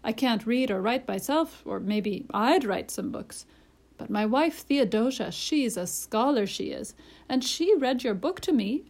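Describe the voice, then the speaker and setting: Storybook tradesman, read by a woman: folksy, rough grammar, eager salesman, lively swings. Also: a little high, unhurried. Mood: admiring, persuasive. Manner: colloquial, ungrammatical, persuasive. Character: folksy, uneducated working man, eager and scheming.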